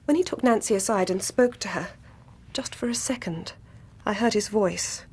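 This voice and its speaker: Earnest, troubled gentlewoman. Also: mid-range voice, breathy edge. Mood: haunted. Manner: grave, deliberate, formal. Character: earnest, troubled young gentlewoman, horrified witness. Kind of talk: radio drama acting.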